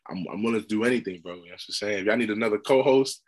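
A relaxed young American man in his twenties, chatting as a podcast guest over a video call. His voice sits low, and he speaks in an easy, slangy way, often tagging his sentences with casual asides. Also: racing. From United States. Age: 20s. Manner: laid-back, slangy, lots of "you know what I'm saying". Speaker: guest basketball player.

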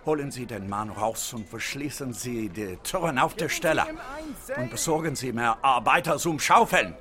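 An actor playing a courteous, learned German archaeologist with a German accent, speaking like a formal storyteller in a radio drama. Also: male voice, mid-range voice, normal speed, close to an everyday voice. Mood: urgent.

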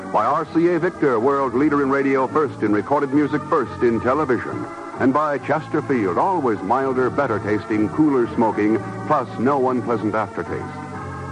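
A man's voice: mid-range voice, poor audio. 1950s male radio announcer over music. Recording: old radio broadcast with organ music.

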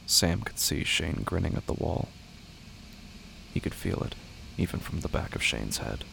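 A man narrating an audio drama in a measured, deliberate, literary way with a gravelly voice. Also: rumbling low, lively intonation, a bit bright, a little hiss or hum.

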